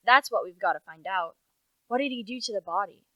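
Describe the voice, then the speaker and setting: A woman's voice: mid-range voice, a little fast, bright, forceful tone, dry recording, dull audio, lightly acted. A young woman acting an earnest investigator in a radio play, speaking in an emphatic, declarative way. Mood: urgent, grim. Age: young adult. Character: earnest investigator puzzling over a murder. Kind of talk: dramatic radio play dialogue.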